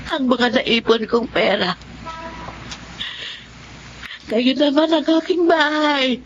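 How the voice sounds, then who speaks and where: An actress playing a remorseful, ruined older woman in a Filipino radio drama, plaintive and halting. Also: high-pitched. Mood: anguished, pleading. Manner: plaintive, halting, heavily emotional. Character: remorseful older woman, ruined eatery owner turned beggar. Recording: dull lo-fi audio with noise.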